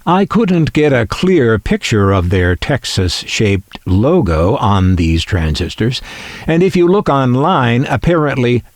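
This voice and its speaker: Slow, expressively lilting man narrating. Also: mid-range voice, very animated, no echo, clean studio sound. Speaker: collector presenting a vintage radio. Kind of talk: measured explanatory narration.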